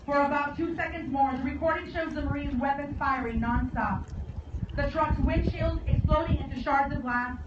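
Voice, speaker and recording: Echoey, distant high-voiced woman giving solemn speech. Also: steady tone. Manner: solemn, measured oratory with deliberate phrasing. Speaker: guest speaker at a memorial service. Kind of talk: formal commemorative speech. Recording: echoey, distant, dull and very noisy.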